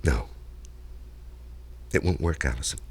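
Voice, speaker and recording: An actor playing an anguished man with a hidden past, agitated and halting, in old-time radio drama style. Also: a bass voice, husky, full character voice. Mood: troubled, frustrated. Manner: agitated, halting, emphatic delivery.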